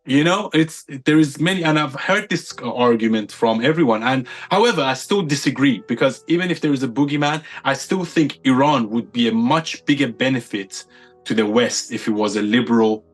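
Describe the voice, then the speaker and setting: A man's voice: mid-range voice, moderate pace, faint music behind. A man from the United Kingdom, a podcast guest giving his opinions in casual chat, stacking up points with repeated phrases.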